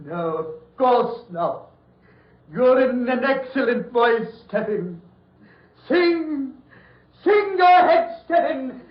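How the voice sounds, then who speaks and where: An actor playing an obsessed, desperate mad doctor in a theatrical, raving 1940s radio horror style. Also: male voice, extremely high pitch, very animated, slow pace, full character voice. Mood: frantic insistence. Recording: muffled old radio recording, echoey.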